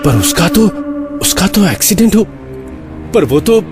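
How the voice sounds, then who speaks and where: An actor playing an anxious, exasperated man who tells his eerie story in a horror audio drama, halting and repeating words for suspense. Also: high voice, big pitch swings, music in the background. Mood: shaken, suspenseful. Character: anxious, exasperated male flat owner recounting eerie events. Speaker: narrator and lead of a horror story.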